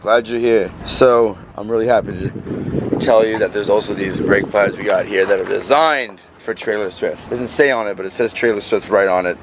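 A man's voice: deep voice, background noise. A young man talking casually to a handheld camera at arm's length outdoors in a parking lot, as a travel vlogger. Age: young adult. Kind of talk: casual vlog talk to camera. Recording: music playing behind outdoor camera talk. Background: loud music.

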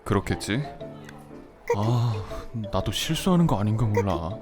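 Brief: male actor as a shy, flustered man in his 30s, slow, hesitant delivery, over background music. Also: lowish voice, big pitch swings. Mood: fretful. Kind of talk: amateur audio drama acting. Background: soft background music.

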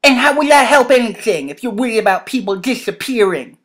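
Woman acting a loud, defiant teenage boy. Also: fairly high pitch, animated, a little fast, voice pushed up. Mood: angry, frustrated. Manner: harsh, sarcastic, growling retorts. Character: angry, bitter, defiant teenage werewolf boy.

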